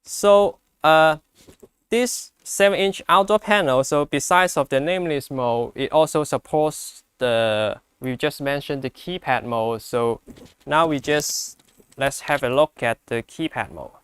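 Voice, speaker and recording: A man who speaks English as a second language gives an unscripted product demonstration, explaining step by step in a halting way. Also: on the high side, a bit bright, smooth, dry recording, clean studio sound. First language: not English. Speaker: product presenter.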